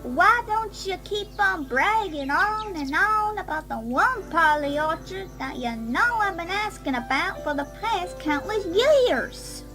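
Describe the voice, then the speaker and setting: A woman's voice: extremely high pitch, animated, unhurried, hardly any pauses. A woman voicing a resentful, sarcastic young cartoon farm filly. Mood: sarcastic anger. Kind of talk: cartoon fan fiction voice acting. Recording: clear music behind the voice.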